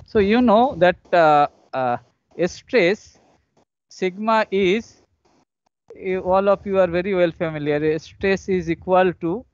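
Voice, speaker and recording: A high-voiced man with an Indian accent gives a technical lecture over an online session. He works through equations haltingly, repeating his phrases as he goes. The sound is dry and somewhat dull and lo-fi. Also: no echo. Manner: repetitive, halting step-by-step explanation. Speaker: engineering professor. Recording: dull lo-fi online call audio.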